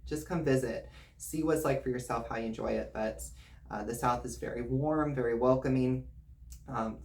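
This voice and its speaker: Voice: medium pitch, a little mellow. A real estate agent from the United States hosting an informal, explanatory video presentation in a conversational way. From United States, grew up in Virginia and Missouri.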